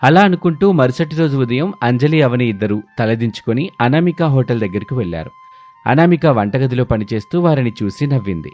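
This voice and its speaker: A man's voice: medium pitch, expressive, a little fast, darkish tone. Male narrator for scripted family moral stories, mid-range voice, music behind. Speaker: storyteller narrator. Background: background music.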